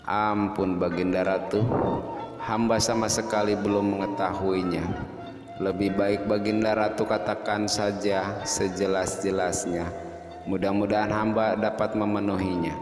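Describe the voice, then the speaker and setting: A man's voice: fairly low pitch, flat delivery, measured pace, close to an everyday voice. A man voicing a humble, honest, torn young prince in a folktale drama, with deferential, flowery courtly speech. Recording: echoey long reverb, music behind.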